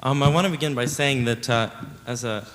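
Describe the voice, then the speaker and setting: A man's voice: mid-range voice, fairly quick, fairly bright tone. A young man, a student, asking an earnest, wordy question from the floor at a town hall. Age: young adult. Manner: earnest, wordy, searching for words. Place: large lecture hall. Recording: long reverb in a large hall.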